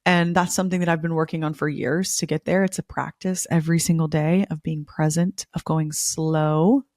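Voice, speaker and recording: A young woman hosting a spiritual podcast, a little hoarse from losing her voice, talking in an effusive, repetitive way. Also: sits low, fairly even intonation, moderate pace, a little mellow, no echo, clean studio sound. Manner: effusive, repeats words for emphasis. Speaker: podcast host. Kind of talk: casual spiritual podcast monologue.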